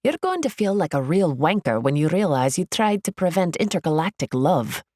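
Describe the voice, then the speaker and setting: A woman's voice: fairly low pitch, very animated, normal speed, a little mellow, dry recording, clean studio sound. A woman voicing a bold, cheeky teenage girl superfan with a Scottish accent in an audiobook. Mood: cheeky, defiant.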